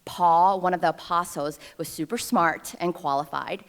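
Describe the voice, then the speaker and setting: Woman, 30s, from New York City; slightly low voice, wry self-deprecating storyteller at a ceremony podium. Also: moderate pace, a bit bright. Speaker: alumna speaker at a ceremony. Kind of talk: personal storytelling speech. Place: ceremony stage before an audience.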